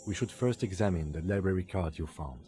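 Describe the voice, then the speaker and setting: An actor playing a calm, pragmatic police detective in a video game, speaking in a dry, measured and formal way. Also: male voice, sits low, a little hiss or hum, natural voice. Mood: matter-of-fact. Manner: measured, formal, matter-of-fact. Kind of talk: scripted video game dialogue.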